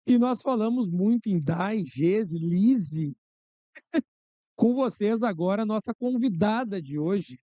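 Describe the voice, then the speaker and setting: Very dark-voiced male host. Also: high voice, no echo. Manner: playful, jokes about himself. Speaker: podcast host. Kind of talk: theatrical show host introduction.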